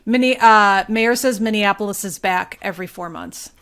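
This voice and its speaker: Casual woman chatting, medium-pitched voice. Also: normal speed, a bit bright. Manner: informal, filler-heavy talk peppered with "like". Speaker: podcast guest, local journalist. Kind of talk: casual podcast chat.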